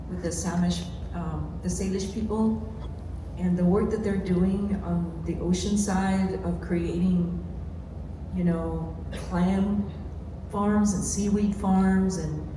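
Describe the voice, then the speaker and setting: A woman speaking off the cuff as a panelist and community organizer, with halting, emphatic phrasing that repeats words. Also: on the low side. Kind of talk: panel discussion answers, unscripted. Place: large echoey room at a live panel event. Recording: echoey hall PA, distant, faint noise.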